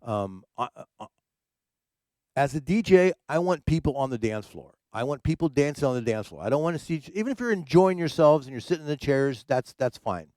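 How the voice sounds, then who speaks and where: A man's voice: on the high side, expressive, fairly quick, darkish tone, no echo. A man who works as a DJ, hosting a casual livestream chat from his home studio, rambling with many fillers and repeated words. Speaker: streaming DJ and show host.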